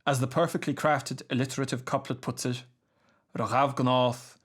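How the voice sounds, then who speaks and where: Male narrator for a scripted radio documentary. Mid-pitched, measured, precise diction. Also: fairly even intonation, husky. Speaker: narrator reading a radio series.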